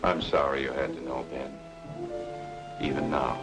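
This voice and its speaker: A man's voice: very deep voice, steady tone, unhurried, loud music behind the voice. An actor playing a hard-boiled, remorseful leading man in an old-time noir radio drama, terse and grave. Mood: grave, confessional.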